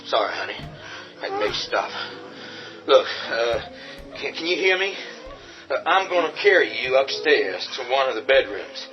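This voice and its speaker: A man's voice: mid-range voice, big pitch swings, moderate pace, lightly acted. A man playing a tough, take-charge survivor in a radio horror drama, tense and commanding. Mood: reassuring. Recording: dull lo-fi audio with faint music.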